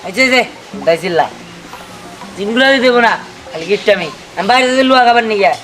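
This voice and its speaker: A man acts out a silly, greedy village boy for a low-budget comedy skit. He whines and pleads with exaggerated relish, over loud music. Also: animated, fast, low-quality recording. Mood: pleading, stubborn. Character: greedy, silly village boy who loves eating iron.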